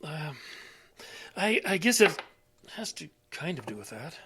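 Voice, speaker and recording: A man's voice: a little high, very animated, a little slow, background noise. An actor playing a confused, anxious elderly father with memory loss in an audio drama, stammering and hesitant. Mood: hesitant, sheepish. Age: older.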